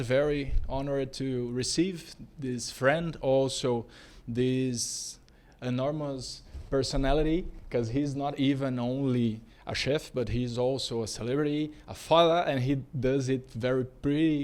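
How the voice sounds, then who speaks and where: A man with a Brazilian Portuguese accent, a native Portuguese speaker, hosting a casual podcast interview in informal, halting English. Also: medium pitch, a little mellow. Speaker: podcast host.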